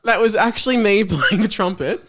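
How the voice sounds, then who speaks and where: A man's voice: high voice, moderate pace, fairly bright tone. A young Australian man, a comedian, chatting and reading aloud in a giggly, rambling way on a casual comedy podcast. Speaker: comedian, podcast guest or co-host.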